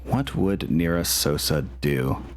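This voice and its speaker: A man's voice: a bass voice, sing-song, takes it slowly. A man narrating a tabletop game as game master, informal and profane, often thinking aloud.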